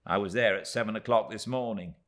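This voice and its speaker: A man's voice: on the low side, a little fast, fairly bright tone, pitched above the performer's own voice, natural voice. A man reading a storybook and voicing a tiny, emphatic, indignant middle-aged woman in distress. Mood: urgent.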